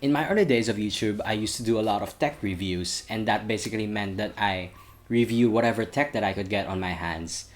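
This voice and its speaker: A man's voice: fairly low pitch, fairly quick. A young man who grew up in Saudi Arabia, with an international school accent, giving his viewers an earnest, slightly wordy channel update. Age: young adult. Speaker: channel host. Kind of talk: vlog update talking to camera.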